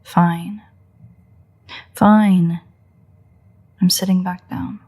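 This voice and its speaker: A woman playing a sardonic, teasing female vampire in a roleplay audio drama, with a husky, breathy, gravelly voice and a sarcastic, exasperated manner. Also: lowish voice, fairly even intonation, drawn-out words, darkish tone. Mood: mocking, defiant. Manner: sarcastic, mocking, swearing, dry exasperation. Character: sardonic female vampire, teasing, crude, grudging toward a hunter. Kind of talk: roleplay audio drama, intimate monologue to the listener.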